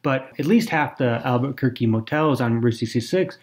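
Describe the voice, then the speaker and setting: A man's voice: medium pitch, moderate pace, a little mellow. A man co-hosting a podcast, explaining fluently in casual talk with some fillers. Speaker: podcast co-host.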